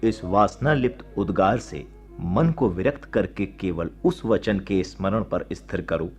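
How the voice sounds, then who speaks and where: An actor playing a solemn, fearless, righteous monk who preaches in formal, declamatory radio-drama style. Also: male voice, deep voice, lively intonation, creaky, heavily acted. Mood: solemn, instructive. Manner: declamatory, preaching, measured moral authority. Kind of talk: Hindi radio drama acting, formal oratory. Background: faint music.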